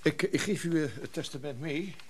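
A man playing a fussy, deferential notary in a radio drama, hesitant and formal with a creaky voice. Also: a little high, normal speed, a little mellow, a little hiss or hum, lightly acted. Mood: obsequious, flustered. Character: fussy, deferential male notary, flustered and courteous. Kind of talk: radio drama character acting.

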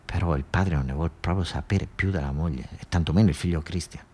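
A man's voice: sits low, fast, mellow, husky. A man with a southern Italian accent performing a radio theatre monologue as a folksy storyteller, emphatic and repetitive in a colloquial way. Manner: repetitive, emphatic, rambling folk-storyteller delivery.

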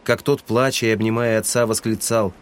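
A man's voice: fairly low pitch, steady tone, fairly quick, slight background noise. A man reading scripted literary narration for an audio drama.

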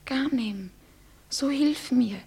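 A woman playing a fearful, devoted young bride, speaking verse in a breathy, often whispered voice. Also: high voice, takes it slowly, warm tone, full character voice. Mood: distressed.

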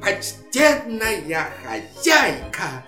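A woman's voice: mid-range voice, big pitch swings, drawn-out words, bright, forceful tone, reverberant, soft background music, full character voice. A woman playing a vain, boisterous goat in a children's audio play, speaking verse with theatrical exclamations. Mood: insistent. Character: vain boisterous goat husband, animal guest at a party.

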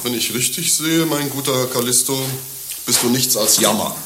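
A man's voice: medium pitch, moderate pace, close to an everyday voice. A male actor playing a cynical, dryly philosophical rogue in a satirical radio play, speaking in a dry, declamatory way. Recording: echoey radio play room, faint noise.

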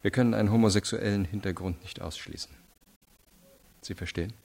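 A tough cop interrogating, really low voice, terse and insinuating, crime radio drama. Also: male voice, steady tone, fairly quick, creaky, darkish tone. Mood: cold, insinuating. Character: hard, probing male police investigator in 1920s Chicago. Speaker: police interrogator.

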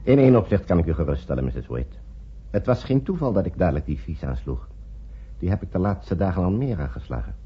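An actor playing a measured, guarded male physician in a radio drama, speaking deliberately. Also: sits low, very animated, husky, heavily acted. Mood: grave, confiding. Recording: muffled old radio recording.

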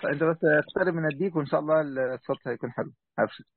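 A man with an Egyptian accent teaching a classical text in an online lesson, briskly correcting his reader's pronunciation. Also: a little high, breathy edge. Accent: Egyptian Arabic. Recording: tinny phone-like call audio.

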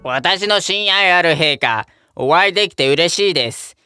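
A boastful middle-aged miller voiced by a man, bowing and scraping before royalty. His voice is high and bright. Soft music sits behind him. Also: lively intonation, unhurried, smooth, no echo, heavily acted. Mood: fawning. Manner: obsequious, flustered. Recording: background music.

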